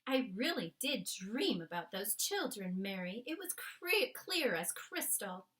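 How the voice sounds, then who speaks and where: A woman's voice: medium pitch, very animated, unhurried, a bit bright. A woman voicing a genteel, self-controlled queen who is easily horrified, with exclamatory old-fashioned phrasing, in a storybook reading. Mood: shaken, earnest.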